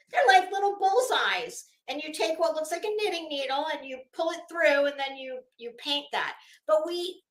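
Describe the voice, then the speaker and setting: Middle-aged female commentator, high-pitched, sarcastic and dramatic, casual delivery, recorded in a roomy space. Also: a bit bright. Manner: sassy, sarcastic, dramatic emphasis with drawn-out words. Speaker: host of an online commentary show. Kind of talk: casual, opinionated commentary to camera. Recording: roomy, echoing sound.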